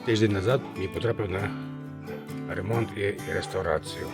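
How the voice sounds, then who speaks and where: A man presenting a casual hands-on demonstration of a vintage radio he restores, speaking haltingly and muttering to himself as he works. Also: low voice, vocal fry, loud music behind the voice.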